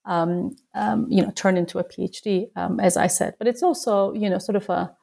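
A middle-aged woman speaks as an interview guest. Her voice is mellow and relaxed, with a creaky fry, and she feels her way haltingly through her answers. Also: mid-range voice. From Turkey. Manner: halting, searching for words. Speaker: guest, human rights researcher and author.

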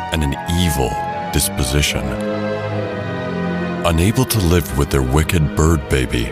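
A man narrating a scripted audio drama in a dramatic, deliberate storytelling way. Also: rumbling low. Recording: loud music bed behind the voice. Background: loud music.

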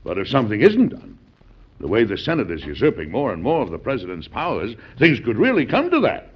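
An actor playing a stubborn, combative president in an old-time radio drama, speaking in an emphatic, oratorical way. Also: male voice, medium pitch, wildly swooping pitch, fairly quick, breathy edge. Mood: indignant. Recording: dull, lo-fi vintage radio recording.

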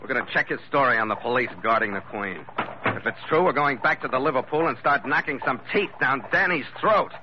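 A man's voice: mid-range voice, lively intonation, a little fast, close to an everyday voice. Man doing a hardboiled skipper from an old 1940s radio show, wry and clipped, dull noisy sound. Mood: defiant, sardonic. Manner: sardonic, terse. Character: tough, wry, world-weary male ship's skipper narrating.

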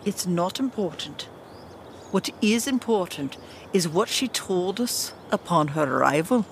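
An actress playing a stern, composed queen and mother, formal, measured and commanding, in a fantasy audio drama. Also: lowish voice, lively intonation, vocal fry, a little hiss or hum. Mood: stern concern.

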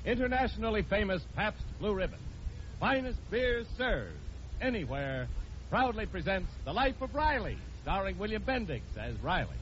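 A man's voice: high voice, sing-song, moderate pace, fairly bright tone. A man announcing and narrating in a formal 1950s radio style. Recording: muffled, hissy old radio broadcast.

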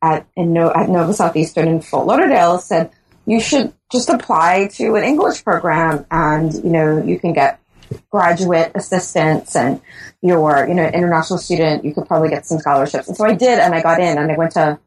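Bahamian-born woman guest, deep voice, thoughtful, halting delivery with many restarts. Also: husky, poor audio. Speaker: guest author. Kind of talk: interview answers.

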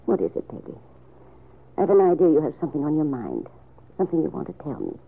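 Older aunt, husky, gravelly, wistful, tender, measured, vintage radio soap. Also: female voice, sits low, wildly swooping pitch, exaggerated voice. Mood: gentle concern. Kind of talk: old-time radio soap opera acting. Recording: narrow, tinny old radio recording.

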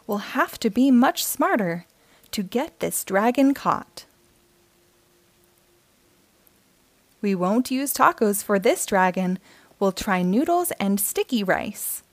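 A woman's voice: mid-range voice, big pitch swings, a little slow, no room sound. A woman reading the part of an eager, determined child dragon hunter in an earnest storybook delivery.

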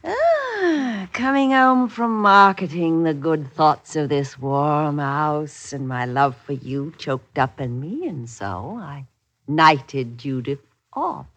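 Casting: a fond colonial wife for vintage radio drama, swooping and unhurried, quaintly theatrical. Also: female voice, low-pitched, very animated, fairly bright tone, muffled recording, full character voice. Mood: fond, reminiscing. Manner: theatrical, quaint archaic phrasing. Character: affectionate, wistful country wife of colonial times. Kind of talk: old-time radio drama acting.

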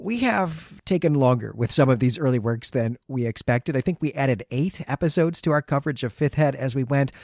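Guy podcast host, middle pitched voice, chatty recap style w some dramatic emphasis, really dry sound. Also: a little mellow, no room sound. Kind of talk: conversational story recap.

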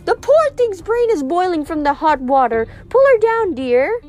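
Storybook satyr: woman plays timid adolescent boy creature, high, nervous, stammering and yelping. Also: very animated, moderate pace, warm tone, soft background music. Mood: panicked.

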